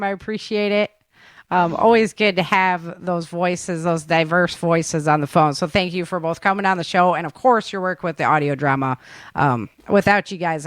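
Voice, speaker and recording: A woman's voice: fairly low pitch, dry recording, clean studio sound. A woman hosting a podcast in a chatty, informal way, moving between news rundown and casual talk. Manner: chatty, informal, addresses listeners as guys. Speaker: podcast host.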